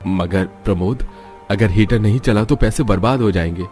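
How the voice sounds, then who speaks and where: A careworn, sensible cartoon family man, acted by a man, with a lowish voice. He talks cautiously and with measure, over background music. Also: normal speed, darkish tone, lightly acted.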